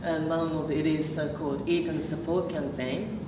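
Low-voiced woman in echoey room. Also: steady tone, moderate pace. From Japan. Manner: hesitant, many fillers and restarts. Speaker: PhD researcher giving a talk. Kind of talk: public lecture with slides. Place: meeting room. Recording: echoey room from far off, noisy.

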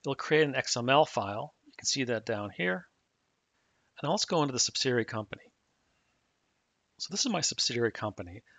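A man calmly explaining software setup step by step in a screen-recorded tutorial. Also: medium pitch, fairly quick, long pauses, no room sound. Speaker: software trainer. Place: at a computer, voice-over on a screen recording. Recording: dull dry mic audio.

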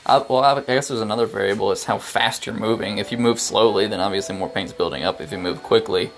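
A young man with a very low voice talks through airbrush technique as he paints, relaxed and unscripted, with ums between thoughts. Music plays clearly behind him along with the hiss of the airbrush, picked up by a head-mounted camera mic in a small studio. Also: fast, poor audio. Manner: relaxed explaining, frequent ums, talks while working. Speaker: airbrush artist. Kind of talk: casual live-stream tutorial while painting. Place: small brick-walled studio, indoors. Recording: music playing under the voice. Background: music playing, airbrush hiss.

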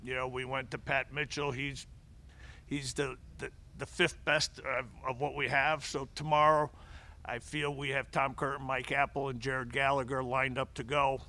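Gravelly bright-voiced middle-aged man. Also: medium pitch, flat delivery. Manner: frequent restarts and repeated words, measured. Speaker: head baseball coach. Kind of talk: postgame press conference answers. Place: press conference room. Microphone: table mic in front of him.

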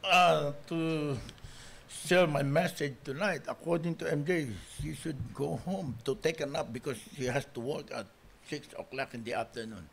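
A man's voice: fairly high pitch, normal speed, vocal fry, a little hiss or hum. A middle-aged man, preaching informally as a guest pastor in a rambling, anecdotal way. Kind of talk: informal sermon opening with anecdotes. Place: small church hall, at pulpit. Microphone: pulpit microphone.

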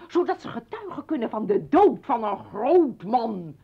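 An indignant mother facing down her estranged husband in a radio drama. Her husky, highish voice swings widely, accusing and biting with sarcasm. The old recording sounds dulled. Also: big pitch swings, unhurried, highs cut off, full character voice. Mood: defiant, scathing. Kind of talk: dramatic radio play acting.

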